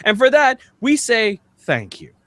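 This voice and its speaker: A man's voice: very high voice, huge pitch swings, a little slow, sharp tone, no room sound. A man hosting a casual comedy podcast, rambling jokily and reading comments aloud. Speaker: podcast host.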